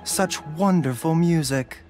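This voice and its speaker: A man voicing a gentle, courteous male herbal doctor in a video game, speaking in polite, measured, formal phrases. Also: fairly high pitch. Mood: calm, courteous. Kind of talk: scripted game dialogue. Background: music.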